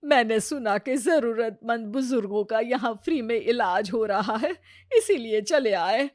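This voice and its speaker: A woman acts a weary older mother-in-law in a moral tale. She speaks slowly in a dry, close sound. Her manner is patient and gently pleading. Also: a little high, no echo, lightly acted. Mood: plaintive. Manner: patient, plaintive.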